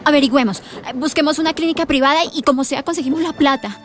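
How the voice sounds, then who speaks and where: A woman's voice: high voice, fast talker, music in the background, close to an everyday voice. An actress playing an anxious, earnest young Colombian woman in a radio soap opera. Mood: desperate, determined. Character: distressed young pregnant woman, anxious yet determined.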